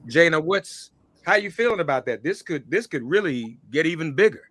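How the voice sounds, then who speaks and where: A man's voice: fairly high pitch, lively intonation, fairly quick, a bit bright. A man hosting a casual conversational interview, with informal slang and joking asides. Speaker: interview host.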